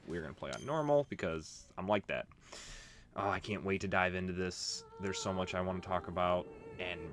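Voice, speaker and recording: Low-voiced man commentating over game music. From United States. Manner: rambling, nostalgic, informal with swearing. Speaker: let's play host. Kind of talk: casual gameplay commentary. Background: video game music.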